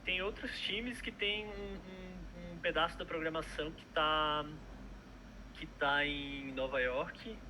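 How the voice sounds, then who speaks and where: A young man, talking casually over a video call. His voice is bright and a bit high, and he trips over fillers and restarts often. Faint noise hums behind him. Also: fairly even intonation, takes it slowly, low-quality recording. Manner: hesitant, many fillers and restarts. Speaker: product manager guest. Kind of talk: casual interview chat.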